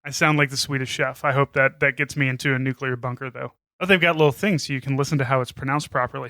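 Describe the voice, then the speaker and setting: A man co-hosting a podcast in casual, jokey chat, animated and swearing casually. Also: mid-range voice, dry recording. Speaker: podcast co-host.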